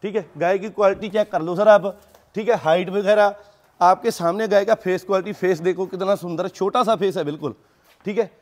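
A man selling dairy cattle, giving an informal pitch and respectfully addressing the listener as sir again and again. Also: brisk. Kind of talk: informal sales pitch to camera. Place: dairy farm.

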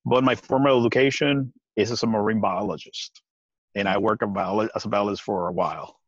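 Man, 40s, from Puerto Rico, low voice, earnest and halting, dry lo-fi webcam sound. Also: husky, no echo. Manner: earnest, halting, many restarts, laughs often. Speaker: guest. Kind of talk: casual video-call interview. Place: outdoors on a deck by trees. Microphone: video call webcam.

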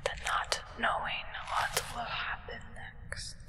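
A young woman whispering a formal, deliberate ASMR roleplay as a solemn, devoted acolyte to a vampire sovereign. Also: long reverb tail. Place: indoors, small draped set.

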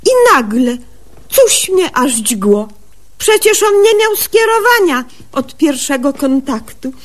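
Naive young village doctor for radio comedy: high-pitched, unhurried, self-assured, theatrically learned, folksy grammar. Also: female voice, exaggerated voice. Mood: mock-solemn narrating. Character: naive, self-assured young rural woman doctor recounting her cases. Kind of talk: comic radio sketch acting and narration.

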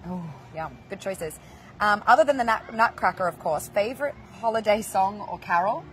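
A woman's voice: mid-range voice, lively intonation, moderate pace, fairly bright tone, low-quality recording. Young woman tv host, bubbly and laughing a lot, outdoor plaza, faint background noise. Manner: gushing, enthusiastic, laughs often. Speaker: entertainment show host. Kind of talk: upbeat celebrity interview. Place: outdoor plaza set with passersby.

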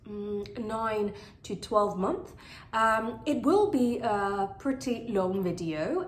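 Earnest plant vlogger woman, recovering voice. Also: mid-range voice. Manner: chatty, earnest, with frequent fillers. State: voice still recovering from illness. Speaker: houseplant channel host. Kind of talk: casual product review talking to camera. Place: bright living room full of plants. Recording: roomy, echoey home room.

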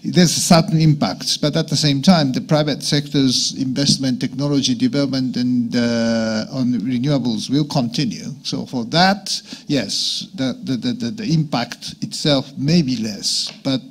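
A man moderating a conference debate in a halting way, often repeating the starts of words. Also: mid-range voice. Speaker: panel moderator. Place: conference meeting room with long tables.

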